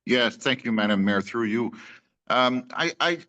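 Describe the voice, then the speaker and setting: Slow, earnest man councillor. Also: mid-range voice, lively intonation, pushed and bright, muffled recording. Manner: earnest, hesitant with restarts. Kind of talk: speaking at a council meeting.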